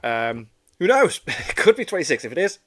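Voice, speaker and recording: A man's voice: on the high side, big pitch swings, normal speed, a bit bright. A man with a head cold chats informally and enthusiastically as a reaction host. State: congested with a cold. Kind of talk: casual chat and short reactions.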